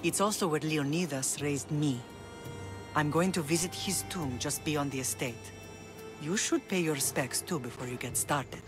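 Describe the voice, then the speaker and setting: A woman's voice: sits low, normal speed, lightly acted. A woman playing a proud, middle-aged Spartan mother in a video game cutscene, firm and dignified. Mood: solemn. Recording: music behind the voice. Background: music.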